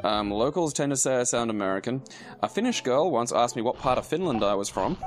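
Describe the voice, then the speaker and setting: An Australian young man in loose gaming banter with a friend, quick to laugh and swear. His voice sits at a middling pitch, and soft game music plays quietly behind him. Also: animated. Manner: laughs often, crude joking asides. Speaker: let's play commentator.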